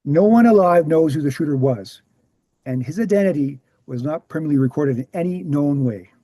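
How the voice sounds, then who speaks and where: A man playing an earnest, weary veteran researcher explaining things in a measured way at a screenplay table read. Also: on the high side, expressive, warm tone, no echo. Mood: resigned.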